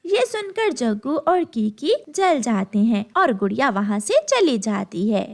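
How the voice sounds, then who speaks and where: A woman's voice: a little high, sing-song, unhurried, creaky. A woman narrating a scripted children's story as the narrator.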